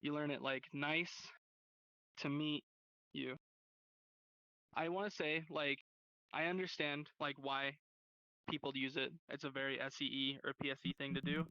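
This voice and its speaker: A man's voice: on the high side, flat delivery, unhurried, long pauses, sharp tone, smooth, no room sound, muffled recording. A man teaching an informal sign language class in a casual, rambling, slangy way over online voice chat. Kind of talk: informal lesson. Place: online virtual class hub.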